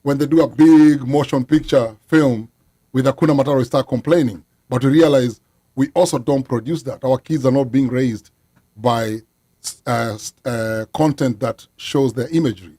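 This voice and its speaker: A man's voice: mid-range voice, moderate pace, mellow, no echo, clean studio sound. A man, a musician guest talking earnestly in a casual interview. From Kenya. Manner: earnest, explains at length with frequent fillers.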